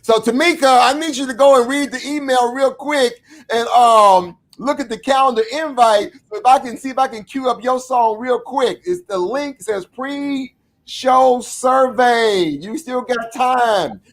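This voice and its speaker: A man hosting an online alumni show, chatting casually and shouting with excitement as he brings on guests, speaking over a video call. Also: very high voice, unhurried. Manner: excitable, shouts and whoops when introducing guests. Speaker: show host. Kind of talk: hype introduction and casual interview chat.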